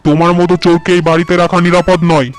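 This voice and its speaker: A man voicing a gullible, quick-tempered young farmer husband in a cartoon who scolds his wife and mother. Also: fairly high pitch, flat delivery, soft background music, close to an everyday voice. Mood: harsh. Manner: stern, blustering.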